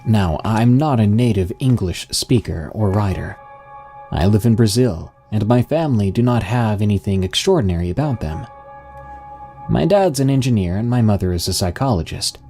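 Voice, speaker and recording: Low-voiced man narrating over music. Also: lively intonation, moderate pace, dark tone. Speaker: story narrator. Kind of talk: scripted first-person narration.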